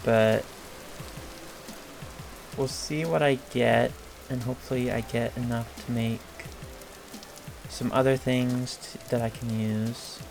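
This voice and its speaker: A hoarse man giving casual, hesitant commentary over a video game, thinking aloud with frequent pauses and fillers. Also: medium pitch, fairly even intonation, darkish tone. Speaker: gameplay video creator. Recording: clear game music under the voice. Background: game music and rain sounds.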